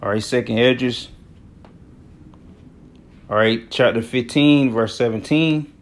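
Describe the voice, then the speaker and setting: A man's voice: medium pitch, slow. A man from the United States giving informal, slangy commentary on news and scripture, often punctuating his talk with filler phrases. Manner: conversational, slangy, repeats "all right" and "you know". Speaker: religious commentator.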